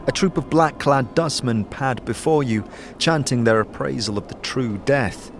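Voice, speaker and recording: A man narrating a fantasy audio drama in a measured, atmospheric storytelling style. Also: lowish voice. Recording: clear background music.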